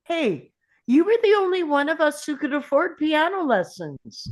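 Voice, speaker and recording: A woman playing an excitable young kid adventurer in a film script table read, speaking emphatically and urgently. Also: high voice, normal speed.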